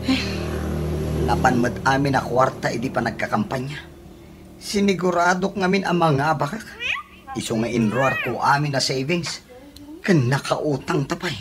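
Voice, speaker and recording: An actor playing a worried, defeated husband in an Ilocano radio comedy drama. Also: male voice, mid-range voice, lively intonation, moderate pace, noisy background, lightly acted. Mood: dejected. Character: worried, defeated husband and election candidate, deep in debt. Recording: radio drama with prominent music behind.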